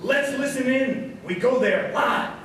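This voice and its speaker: A man's voice: very high-pitched, unhurried, fairly bright tone, a little hiss or hum. A man playing a folksy, obsequious small-town radio host in a comic stage radio show. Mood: folksy, deferential. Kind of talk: comic radio theatre acting. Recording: very echoey hall, distant mic.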